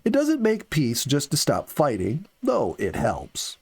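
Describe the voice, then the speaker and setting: A man reading the part of an earnest, philosophical young soldier in an audiobook, with slow, deliberate phrasing. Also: fairly high pitch, huge pitch swings, darkish tone, slightly rough, pitched above the performer's own voice, exaggerated voice. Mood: yearning, reflective. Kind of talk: audiobook character dialogue.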